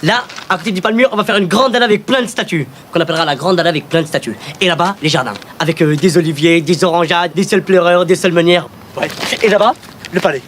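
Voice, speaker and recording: An eccentric comedy architect, rattling off grand plans in a high voice. He is fast and enthusiastic, with faint noise behind. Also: a bit bright. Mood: grandiose, excited. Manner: rambling, enthusiastic, rapid.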